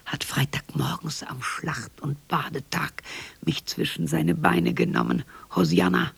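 An actor playing a broken, bitter old man in a radio drama monologue, hoarse and halting, often breaking into whispers. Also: fairly high pitch, a bit bright, dry recording, exaggerated voice. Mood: anguished lament.

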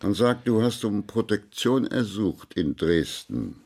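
A blunt musician in a radio play, passing on gossip with a dry, pointed delivery. The voice sits fairly low, and he is dismissive and impatient with those around him. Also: animated, unhurried, a little mellow, breathy edge, exaggerated voice. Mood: dry, needling. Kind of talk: German radio drama acting.

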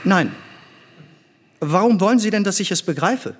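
A man's voice: high-pitched, expressive, normal speed. An actor playing an eager, cheeky boy pupil who doubts and questions, in a theatrical reading. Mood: stubborn, puzzled.

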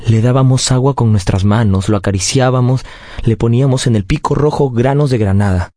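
An actor narrating a radio drama as a grown man recounting his childhood, in a measured, solemn and tender way. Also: mid-range voice, mellow, dry recording, clean studio sound, lightly acted. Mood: solemn, wistful.

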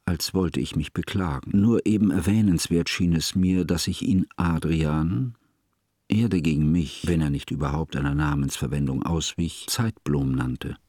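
A scholarly, devoted friend as narrator, with a very deep and grainy voice. He speaks solemn, formal in a literary radio play. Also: a little mellow, no echo, clean studio sound. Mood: pensive. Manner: formal, slow-weighing, solemn. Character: grave, scholarly male narrator, devoted friend looking back.

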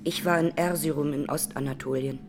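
An actress playing an Armenian woman in her twenties, a massacre survivor giving measured, sober testimony in a radio drama. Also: sits low, steady tone, background noise, natural voice. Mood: grave, sober. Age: 20s.

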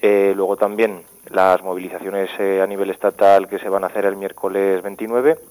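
A man from Spain, calling into a radio show by phone, talking haltingly with many fillers and restarts. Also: sits low, level tone, darkish tone. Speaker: caller from a local radio. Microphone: telephone. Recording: phone line.

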